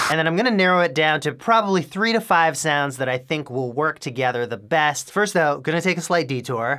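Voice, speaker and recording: A man's voice: a little high, sharp tone. A young man with a head cold, chatting in an upbeat way as he explains his sound design in a home music studio. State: congested with a cold. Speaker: music producer and YouTuber. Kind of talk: casual tutorial vlog.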